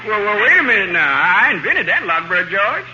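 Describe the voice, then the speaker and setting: A gullible, stammering sap from 1940s radio comedy. His very high voice hurries along with lively swings. The old broadcast is muffled, roomy and noisy. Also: fairly quick, full character voice. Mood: worried. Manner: hesitant, stammering, naive. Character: gullible, timid, dim-witted brother-in-law.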